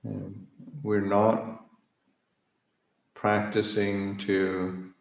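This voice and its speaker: A man leading a guided meditation as a Buddhist teacher, speaking haltingly with long pauses as he searches for words. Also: on the low side, monotone, gravelly. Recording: roomy, echoing livestream recording.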